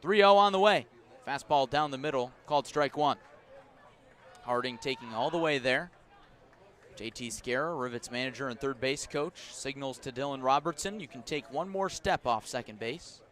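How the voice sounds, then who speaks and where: A man doing live radio baseball play-by-play, describing each pitch in detail and filling in with player stories. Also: normal speed. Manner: descriptive, storytelling between pitches, rising to excited calls on big plays.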